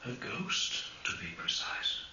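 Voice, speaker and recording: Ghostly army major, menacing and sardonic, slow n piercing, echoey old radio play. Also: male voice, sharp tone. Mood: eerie taunting. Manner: clipped barked commands, sardonic. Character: ghost of a stern military Major, taunting and menacing. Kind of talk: radio horror drama acting. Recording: lo-fi radio drama, echoing, hissy.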